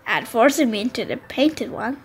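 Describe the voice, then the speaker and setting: Expressive high-pitched woman reading a storybook. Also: breathy edge, faint music behind. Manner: expressive, deliberate phrasing. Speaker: storybook narrator. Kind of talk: read-aloud narration for young children.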